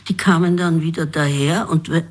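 A woman with a very low, husky voice, speaking as an interview guest. She thinks aloud, often pausing with fillers as she searches for her words. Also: fairly even intonation. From Vienna, Austria. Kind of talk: reflective interview answer.

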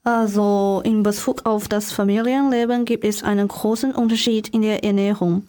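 A woman from Shanghai with a Chinese accent, a guest on a student radio show, halting and restarting words as she reads. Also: medium pitch, steady tone, dark tone. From Shanghai, China. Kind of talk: student radio show, reading prepared answers.

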